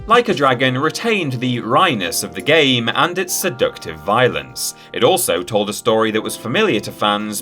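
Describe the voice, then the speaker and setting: Low-voiced guy doing wry list narration, really animated, music underneath. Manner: wry, conversational asides. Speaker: video list presenter. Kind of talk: scripted countdown narration. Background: background music.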